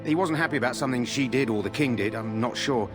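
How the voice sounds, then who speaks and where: An actor playing a loyal but gossipy royal guard in a video game, formal yet confiding. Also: male voice, medium pitch, quick pace, music in the background, close to an everyday voice. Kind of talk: video game character dialogue.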